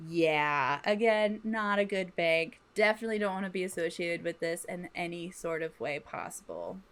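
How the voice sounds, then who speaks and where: A woman's voice: fairly low pitch, lively intonation, normal speed, fairly bright tone, clean tone. A woman co-hosting a podcast, telling a true crime story from notes in an informal, slangy way with some swearing. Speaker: podcast co-host.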